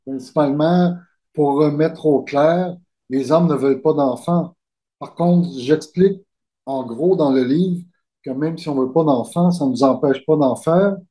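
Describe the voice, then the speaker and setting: A man from Quebec with a Québécois accent, speaking as a guest author in a conversational interview over a video call, in a slow, measured, deliberate way. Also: a little high, dark tone. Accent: Québécois French. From Quebec, Canada.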